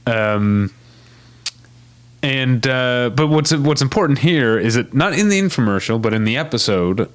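A man's voice: medium pitch, expressive, measured pace, no room sound. A man co-hosting a podcast, chatting casually with many fillers and restarts. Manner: rambling, lots of fillers and restarts. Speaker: podcast co-host. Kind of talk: casual chat.

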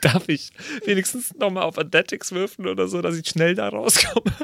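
Higher-pitched rambling guy playing a tabletop game. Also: brisk, gravelly, fairly bright tone, no echo, studio quality. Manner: rambling, repeats words. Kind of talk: casual chat during an improvised game.

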